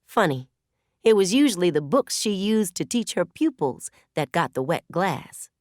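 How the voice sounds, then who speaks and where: A woman reading a novel aloud as an audiobook narrator, with expressive storytelling delivery. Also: fairly low pitch, moderate pace, dry recording, clean studio sound. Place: dry recording studio.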